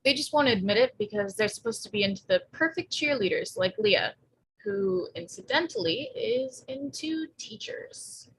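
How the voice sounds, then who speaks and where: A woman playing a wry, quick-witted teenage girl in a table read, with a deadpan, sarcastic delivery. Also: on the high side, creaky, a little hiss or hum, poor audio. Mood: sardonic. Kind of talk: live table read acting.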